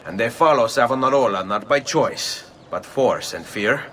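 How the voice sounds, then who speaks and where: An actor playing a calm, determined assassin with an Italian accent and a measured delivery in a video game. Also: male voice, medium pitch, slight background noise, close to an everyday voice. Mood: resolute. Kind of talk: video game cutscene acting.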